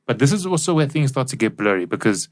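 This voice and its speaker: A man's voice: medium pitch, very animated, quick pace. A man hosting a podcast and discussing things analytically. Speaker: podcast host.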